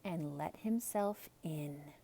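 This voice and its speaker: A woman's voice: low-pitched, takes it slowly, vocal fry, mellow, a little hiss or hum. A woman storyteller narrating a children's tale as a theatrical read-aloud.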